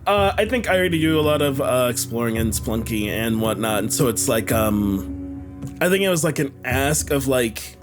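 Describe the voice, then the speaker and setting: A man with a mid-pitched, very animated voice chats his way through a tabletop roleplaying game. His phrasing is halting and casual, with music clearly playing behind him. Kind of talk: casual in-character improvised chat.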